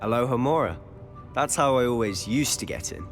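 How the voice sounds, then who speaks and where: A man's voice: medium pitch, animated, moderate pace, faint music behind, close to an everyday voice. A man voicing a confident, sly teenage wizard in a video game, with a British accent and a wry, conspiratorial manner. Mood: reassuring. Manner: conspiratorial, wry, self-assured. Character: confident, sly teenage schoolboy wizard, loyal rule-breaker.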